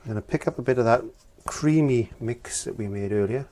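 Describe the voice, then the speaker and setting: A middle-aged man from Wales with a croaky voice from laryngitis, talking plainly step by step as he teaches acrylic painting. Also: mid-range voice, brisk, mellow. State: hoarse from laryngitis. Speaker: art teacher. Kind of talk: painting tutorial.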